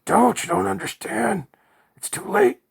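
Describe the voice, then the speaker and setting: A man's voice: on the low side, steady tone, measured pace, husky. A man voicing a terrified man trapped underground, pleading in a panicked, breathless rush. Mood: desperate pleading.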